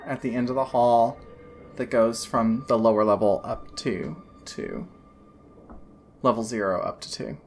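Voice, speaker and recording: A woman running a tabletop game as game master, narrating in a loose, halting way full of "like" and "kinda". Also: rumbling low, fairly even intonation, faint music behind.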